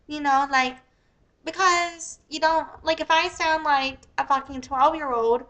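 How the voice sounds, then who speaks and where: A young woman with a squeaky voice who talks in a rambling, profane, casual livestream style. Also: steady tone, a little slow, sharp tone. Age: young adult. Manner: rambling, profane, many fillers. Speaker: live streamer.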